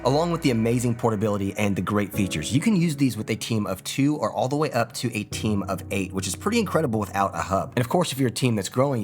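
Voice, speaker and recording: Fast, low-voiced man hosting a review. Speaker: video host, product reviewer. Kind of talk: upbeat scripted product review narration. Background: background music.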